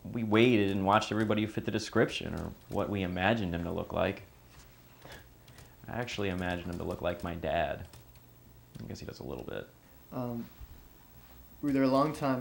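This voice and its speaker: An actor playing an uneasy man giving a halting, understated account in a naturalistic documentary interview style. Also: fairly low pitch, creaky. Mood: reflective. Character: uneasy man recounting meeting his secret half-brother.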